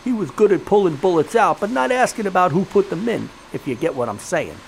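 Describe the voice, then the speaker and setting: High-voiced, animated gangster boss. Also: male voice, fairly quick, gravelly, a little hiss or hum, rough recording. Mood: sly, amused. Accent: American. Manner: streetwise slang, sly insinuation, theatrical. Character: gleeful sweet-toothed gangster crime boss, sly and menacing. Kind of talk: period gangster audio drama acting.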